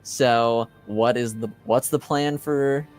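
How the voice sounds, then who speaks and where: A man running a tabletop roleplaying game as game master, speaking in a measured, hesitant way. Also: medium pitch, steady tone, a little mellow. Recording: background music.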